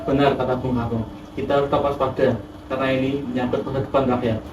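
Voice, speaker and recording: A man plays a prudent senior nationalist leader in a historical film, wording things formally. His delivery is quick, and the sound is reverberant with noticeable noise. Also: mid-range voice, flat delivery, dull audio, close to an everyday voice. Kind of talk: amateur historical film acting, formal scripted dialogue. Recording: echoey room, distant mic, clear noise.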